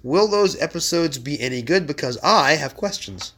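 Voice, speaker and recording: A hoarse man with a fairly high-pitched voice hosts a casual podcast chat in a home library. He talks with wry humor and gives fortune cards a playful, mock-dramatic reading. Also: expressive, normal speed, background noise. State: hoarse after illness. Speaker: podcast host.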